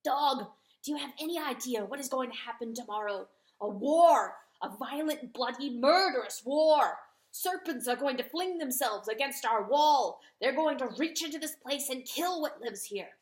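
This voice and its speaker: A woman's voice: high-pitched, expressive, voice pushed up. A woman doing a bossy, commanding rooster. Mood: fierce. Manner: brisk, commanding and hissing. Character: stern, bossy male rooster leader. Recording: roomy echo.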